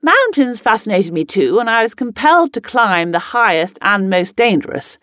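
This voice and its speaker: A woman's voice: medium pitch, big pitch swings, normal speed, a bit bright, no echo, studio quality. An actress playing a spirited, headstrong upper-class lady explorer who looks back in a genteel, emphatic dramatic monologue. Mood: proud, confiding. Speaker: actor reading a monologue. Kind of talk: scripted first-person dramatic monologue.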